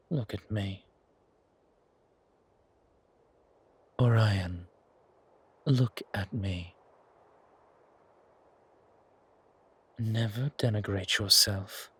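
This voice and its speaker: A man voicing an ancient, urbane vampire father, doting and predatory, with theatrical pauses in a horror audio drama. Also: fairly low pitch, slightly rough, full character voice. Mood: earnest, commanding. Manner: slow, savoring, theatrical delivery with long pauses.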